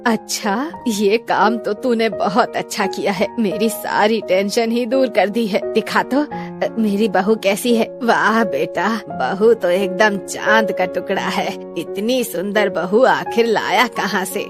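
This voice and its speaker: A woman's voice: medium pitch, takes it slowly, over music, natural voice. A woman playing a scolding mother-in-law. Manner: scolding, dramatic, sarcastic. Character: sharp-tongued middle-aged mother-in-law. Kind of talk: cartoon voice acting.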